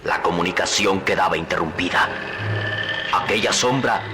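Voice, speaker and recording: Suspenseful radio-drama narrator with loud music. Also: male voice, sits low, moderate pace.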